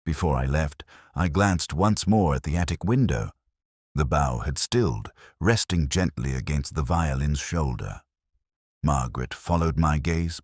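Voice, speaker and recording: Super low male voice reading a detective story, measured and reflective, pitch moves around a lot, dry close sound. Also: dry recording. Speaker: audio drama narrator. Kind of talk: scripted first-person detective story narration.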